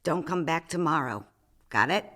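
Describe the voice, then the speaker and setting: A woman's voice: sits low, lively intonation, normal speed, a bit bright. A woman acting a brash, worldly art dealer and pushy boss in a comedic radio drama, theatrical and full of endearments. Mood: pushy, urgent. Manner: theatrical, calls people darling and sweetie.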